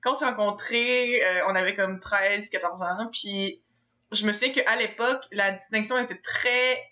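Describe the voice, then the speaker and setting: A woman's voice: mid-range voice, steady tone, moderate pace, bright, forceful tone. A young woman with a Québécois French accent, hosting a podcast in casual chat, often laughing and mixing in English words. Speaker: podcast host.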